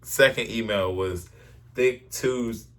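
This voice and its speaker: A man's voice: fairly low pitch, slow, a bit bright. A man chatting casually and telling stories in a playful, defensive, slangy way with plenty of swearing. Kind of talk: casual chat, joking storytelling.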